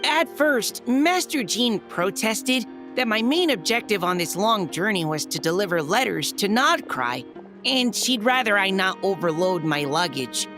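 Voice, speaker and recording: Woman voicing a young lady knight in an anime game, friendly n dutiful, bit flustered, super expressive pitch, music behind. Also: a little slow, lightly acted. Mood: chatty, earnest. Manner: hesitant, slightly flustered, polite. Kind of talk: anime-style video game voice acting.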